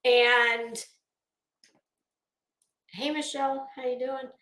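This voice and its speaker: A woman hosting a casual livestream rant, blunt, mocking and profane, with halting, emphatic repetition as she chats to viewers. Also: sits high, little pitch movement, a bit bright. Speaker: livestream host. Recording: roomy, echoey sound.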